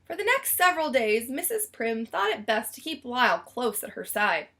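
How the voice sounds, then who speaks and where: A woman's voice: a little high, big pitch swings, normal speed, sharp tone, slight background noise. A woman reading a children's story aloud expressively, stretching and stressing words for drama. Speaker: storybook reader.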